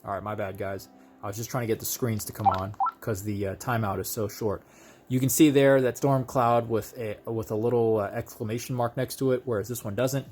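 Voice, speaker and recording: A man's voice: mid-range voice, fairly even intonation, brisk, background noise. A man giving a casual, conversational hands-on product demonstration, explaining things step by step as a video host.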